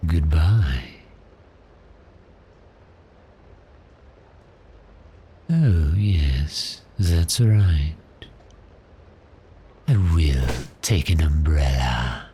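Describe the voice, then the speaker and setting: A man voicing a suave, teasing vampire in an ASMR roleplay, speaking in a breathy, seductive half-whisper with polite menace. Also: extremely low pitch, mellow, slight background noise, full character voice. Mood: polite, amused. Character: cheerful predatory male vampire, suave and teasing. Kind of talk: ASMR roleplay, slow intimate monologue.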